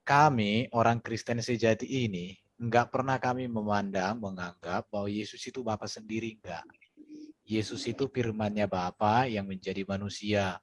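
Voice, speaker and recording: A man in an online video-call debate, slowly and emphatically explaining his beliefs. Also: on the low side, dry recording.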